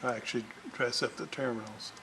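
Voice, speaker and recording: A man giving an unscripted hands-on workbench demonstration as a hobbyist restorer, haltingly thinking aloud as he works. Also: medium pitch, steady tone. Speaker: hobbyist restorer presenting a repair video. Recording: noisy room recording with clear background noise.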